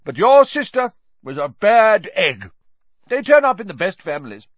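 Man putting on a high shrill drunken aunt, sneering, bullying, dull lo-fi sound. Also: big pitch swings, normal speed, no room sound. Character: loud drunk bullying middle-aged woman.

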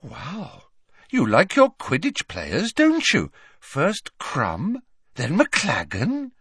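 Gossipy schoolgirl witch played by a man, eager, high, animated, storybook reading. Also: extremely slow, husky, no echo, studio quality, full character voice. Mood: eager, curious. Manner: eager, wide-eyed.

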